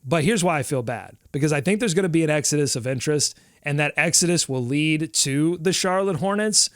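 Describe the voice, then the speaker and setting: Upbeat, high-voiced sports podcast man. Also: a little fast, no echo, studio quality. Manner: animated, rambling with restarts, playfully dramatic. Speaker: podcast host. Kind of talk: casual sports talk.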